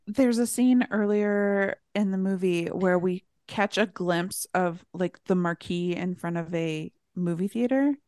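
A woman's voice: lowish voice, measured pace, few pauses, darkish tone, clear, resonant tone, no room sound, studio quality. A woman co-hosting a podcast, chatting casually with an enthusiastic, wry and informal manner. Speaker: podcast co-host. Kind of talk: casual chat about a movie.

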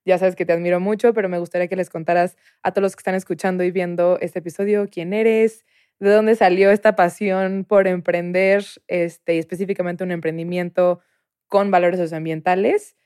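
A woman's voice: on the low side, fairly even intonation, fairly quick, darkish tone, smooth, no echo, clean studio sound. A woman hosting a podcast interview, enthusiastic and chatty with casual slang. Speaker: podcast host.

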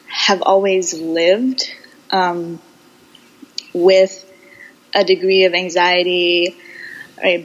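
A slightly dull recording of a young American woman in a casual interview. Her pitch is average, and she speaks reflectively, pausing and feeling her way through phrases as a podcast guest. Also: fairly bright tone. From United States, Boston and Houston.

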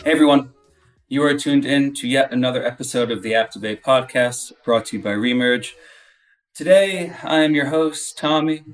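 A man's voice: mid-range voice, moderate pace, distant mic, faint music behind. A man hosting a podcast in a casual, chummy interview style. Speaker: podcast host.

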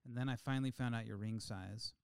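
Male podcast co-host, late thirties, mid-pitched, animated and insistent, close dry sound. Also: fast talker, gravelly, smooth, dry recording. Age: 30s. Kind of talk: casual chat.

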